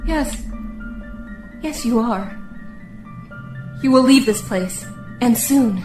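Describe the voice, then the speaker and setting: Actress as a slow, mournful, despairing woman prisoner. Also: a little high, a little mellow, reverberant. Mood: resigned. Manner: slow, mournful, tearful delivery. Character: despairing weeping woman, long-imprisoned, hopeless and mysterious. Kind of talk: audio drama acting. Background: faint music.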